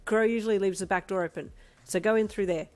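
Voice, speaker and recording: A woman's voice: medium pitch, steady tone, fast, darkish tone, soft background music, lightly acted. A woman voicing a brash, teasing young male athlete. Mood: brisk.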